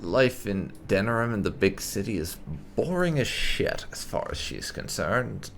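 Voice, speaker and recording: Casting: gravel-voiced male game streamer, swooping delivery, wry and casual, game music behind. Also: lowish voice, big pitch swings, unhurried, fairly bright tone, a little hiss or hum. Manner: wry, casual, mildly profane. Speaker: gaming channel host. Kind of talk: roleplay gameplay commentary.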